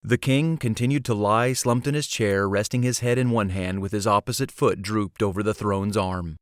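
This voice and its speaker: A man's voice: lowish voice, steady tone, normal speed, no echo, clean studio sound. A man reading scripted fantasy storybook narration as an audiobook narrator.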